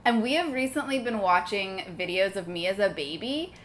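A woman's voice: mid-range voice, expressive, moderate pace. A young woman from Manhattan with a neutral American accent, co-hosting a casual chat with her mother and talking loosely with many fillers. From Manhattan, New York. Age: young adult. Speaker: podcast co-host. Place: apartment.

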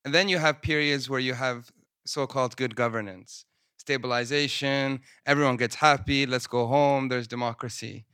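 A man presenting his research at a panel in a conference room, speaking in a measured way with frequent ums. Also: medium pitch, fairly even intonation, sharp tone, clean tone, no echo, clean studio sound. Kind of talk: academic talk at a panel. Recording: poor sound quality.

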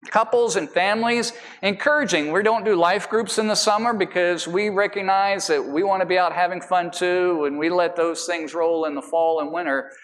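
A man's voice: high-pitched, fairly bright tone, big-room sound. A man pastor giving a conversational sermon with rambling asides and everyday illustrations, recorded in a church sanctuary.

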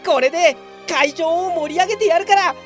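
A woman's voice: very high-pitched, fairly quick, faint music behind. A woman playing a brazen, oblivious, self-satisfied veteran office worker with a pompous manner in a comedic radio drama. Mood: self-satisfied, excited. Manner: pompous, grandly self-promoting.

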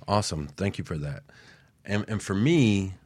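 A man's voice: deep voice, expressive, normal speed, darkish tone, slight background noise. A man co-hosting a talk radio show, musing earnestly with frequent restarts. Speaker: co-host.

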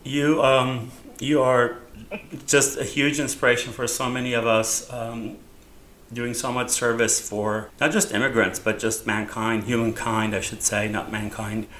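A man's voice: medium pitch, level tone, measured pace, a bit bright, slight background noise. A man hosting a radio interview, giving brief affirming replies and reading station plugs. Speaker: radio host.

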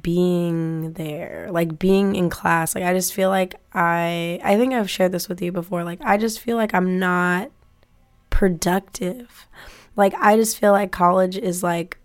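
A college student in her twenties talking casually to her viewers with a hoarse, raspy voice that sounds like a smoker's, rambling with lots of "like.". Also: fairly low pitch, fairly even intonation, unhurried. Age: 20s. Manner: rambling, says "like" often. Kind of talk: casual talk to camera.

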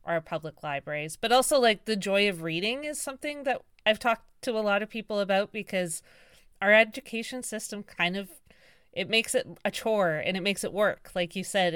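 A woman's voice: lowish voice, a bit bright, clear, resonant tone, no room sound, studio quality. A woman hosting an interview podcast, chatty and rambling, full of likes and restarts. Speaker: podcast host. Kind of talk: casual interview chat.

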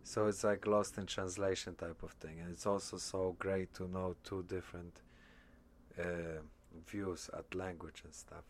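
A man's voice: deep voice, unhurried, slight background noise. A young man from Poland, rambling casually and swearing now and then. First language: Polish. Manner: relaxed, rambling, frequent swearing. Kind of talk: casual chat.

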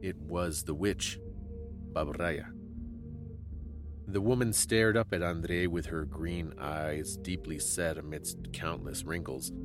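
A man giving a dramatic scripted story reading as a narrator, solemn and suspenseful, with deliberate phrasing. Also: deep voice, over music. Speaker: storyteller and podcast host.

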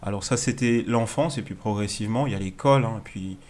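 A man in his thirties from Alsace, a guest artist recounting his path in a relaxed, storytelling way with frequent fillers, in an apartment. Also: lowish voice, fast. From Alsace, France. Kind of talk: casual interview answers.

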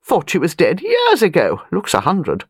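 Woman playing an imperious, lively old aristocratic lady. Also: on the low side, moderate pace, breathy edge, no echo, studio quality, pitched above the performer's own voice, full character voice. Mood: emphatic, exclaiming. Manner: clipped, dropped-subject, emphatic speech. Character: imperious, chatty elderly aristocratic English lady.

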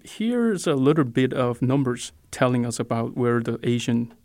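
A man's voice: medium pitch, expressive, normal speed, darkish tone, no room sound. A man in his forties from South Korea speaking English with a Korean accent, giving earnest, polite interview answers with hesitant fillers. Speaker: ministry director, guest.

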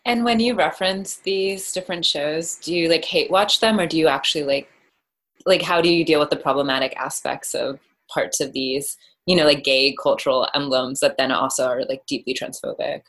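Interviewer: American woman, deep-pitched for a female voice, informal, tentative, feels out each question aloud. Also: steady tone, moderate pace, fairly bright tone. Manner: tentative, rephrasing questions as she goes. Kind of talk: casual, conversational interviewing.

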